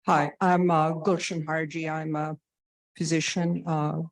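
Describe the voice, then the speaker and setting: Woman in her 60s, from Tanzania, flat intonation, unhurried halting delivery, dry sound, earnest forum questioner. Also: low voice, dry recording. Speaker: physician, audience questioner. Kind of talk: prepared personal statement and question at a public forum.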